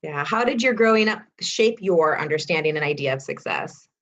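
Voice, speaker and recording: A woman's voice: sits low, lively intonation, normal speed, fairly bright tone. A woman hosting a talk show, interviewing a guest in a conversational style. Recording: dull lo-fi sound.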